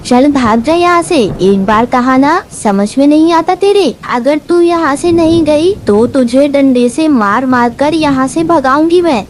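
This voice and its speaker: A woman's voice: sits high, measured pace, few pauses, darkish tone. A woman voicing a cruel old mother-in-law bird in a cartoon story, scolding harshly and accusing. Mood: angry, scolding. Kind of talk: Hindi cartoon story dubbing. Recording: noisy, thunderstorm behind.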